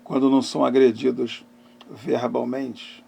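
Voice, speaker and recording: A middle-aged man preaching and giving personal testimony as a pastor, emphatic, with dramatic pauses and swings between loud and hushed phrases. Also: mid-range voice, flat delivery, gravelly, slightly rough. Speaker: pastor and therapist hosting a live talk.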